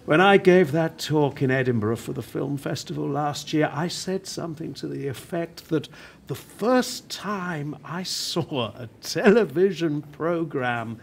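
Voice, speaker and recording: An actor in a radio play playing a dying older working-class television writer, proud, prickly and reflective, with a wry, deliberate way of speaking. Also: male voice, on the high side, creaky, slightly rough, full character voice. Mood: impassioned, reminiscing.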